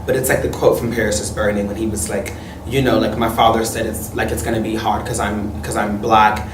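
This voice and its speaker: A man's voice: lowish voice, level tone, brisk. A young man from South Carolina, candid and conversational, answering documentary interview questions. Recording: echoey room, distant mic, background noise.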